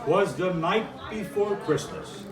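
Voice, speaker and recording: A man reading a storybook poem aloud to children in a deliberate, theatrical storyteller manner. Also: high-pitched, animated, crowd noise. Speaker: fire chief reading to children. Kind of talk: live storybook verse reading. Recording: roomy echo, distant microphone.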